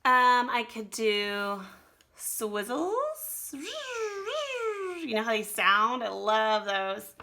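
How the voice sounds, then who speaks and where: A woman's voice: fairly high pitch, wildly swooping pitch, very slow, bright, forceful tone. An American woman teaching children to draw, talking them casually through each step in an encouraging way. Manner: encouraging, talks directly to young pupils. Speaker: art teacher giving a drawing lesson to children. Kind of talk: casual step-by-step demonstration while drawing.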